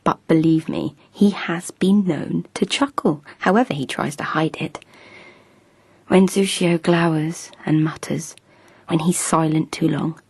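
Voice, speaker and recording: A girlish young noblewoman in a radio play, breathless and besotted with her husband. Her voice is very breathy and a little low, and it sits close and dry with no room around it. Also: a little mellow, dry recording, full character voice. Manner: breathless, whispery, eager. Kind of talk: radio drama acting.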